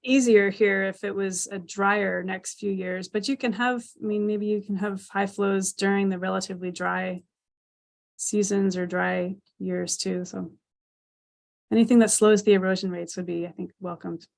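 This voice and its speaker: A woman's voice: mid-range voice, fairly even intonation, normal speed, vocal fry. A woman scientist answering audience questions over a video call, thoughtful and hedging with restarts. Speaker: speaker.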